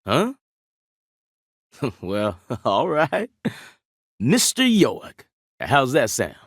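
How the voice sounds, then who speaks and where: A man's voice: mid-range voice, wildly swooping pitch, drawn-out words, slightly rough, no room sound, clean studio sound, heavily acted. A man playing a folksy, jovial small-town sheriff in a video game, with a rambling Southern US drawl. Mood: jovial, chatty.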